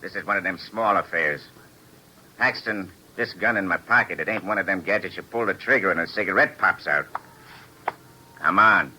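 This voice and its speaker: An actor playing a menacing mob thug, curt, sardonic and ungrammatical, in a 1950s radio drama. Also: male voice, low-pitched, moderate pace, natural voice. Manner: curt, ungrammatical tough-guy talk. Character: menacing syndicate-style thug, sardonic and blunt. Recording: noisy vintage 1950s radio recording.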